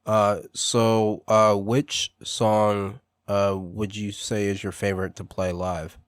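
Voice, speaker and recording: A man hosting a casual interview, chatty and quick with short repeated reactions. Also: fairly low pitch, flat delivery, smooth, no room sound, studio quality. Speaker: radio show host.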